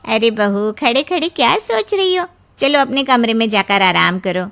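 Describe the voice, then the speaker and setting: A woman voicing a brisk, matter-of-fact, bossy in-law from a big household in a Hindi cartoon story. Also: on the high side, expressive, vocal fry, a bit bright.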